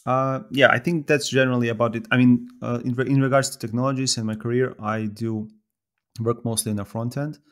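A man in his thirties from Serbia with a Serbian accent, speaking as a podcast guest in a thoughtful, halting way with many fillers. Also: medium pitch, little pitch movement. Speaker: podcast guest, software developer. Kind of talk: casual podcast conversation.

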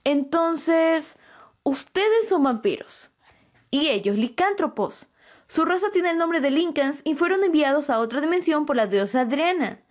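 A woman's voice: high voice, lively intonation, a little slow. A woman playing an anxious, bewildered young woman in a fantasy audio drama, hesitant and wide-eyed. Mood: hesitant realization.

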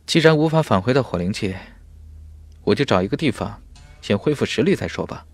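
A man's voice: on the low side, lively intonation, brisk, slightly rough. A man voicing a determined young cultivator hero in an audiobook, musing with self-possession. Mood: resolute. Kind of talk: audiobook character voice.

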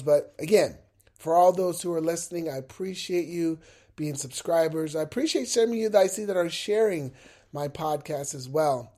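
A man's voice: fairly high pitch, dark tone. A man with a head cold hosting a motivational podcast, talking upbeat and encouraging straight to his listeners from his bed at home. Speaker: podcast host. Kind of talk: unscripted motivational podcast monologue. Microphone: laptop with a mic.

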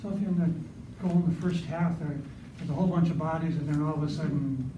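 Male reporter, curious, echoey room with steady noise. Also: a little high, fast. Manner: curious, probing follow-ups. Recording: distant, echoey, noisy.

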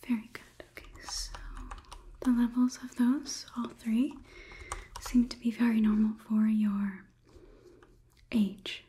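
A young woman whispering gently and clinically in an ASMR roleplay as a robot examiner, close to the microphone. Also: fairly high pitch, steady tone, takes it slowly, pauses often, a little hiss or hum. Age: young adult. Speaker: ASMR performer. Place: small indoor studio. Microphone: close microphone, framed close to camera.

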